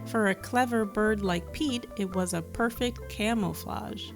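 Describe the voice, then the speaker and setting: A woman's voice: medium pitch, measured pace, faint music behind. A woman reading a children's picture book aloud, engaging the listener with questions and asides. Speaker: children's storybook reader. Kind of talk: read-aloud storytelling with asides to young listeners.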